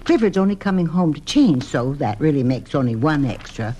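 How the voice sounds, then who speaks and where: A woman's voice: deep voice, very animated, a little fast, warm tone, slight background noise. An actress playing a fond, fussing older wife and mother in an American old-time radio drama, chiding in a motherly way.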